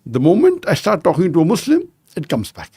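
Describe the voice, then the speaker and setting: A man in his sixties telling anecdotes as a podcast guest, measured and sprinkled with "you know". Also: fairly high pitch, wildly swooping pitch, dark tone, breathy edge, no room sound, clean studio sound. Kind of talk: conversational storytelling interview.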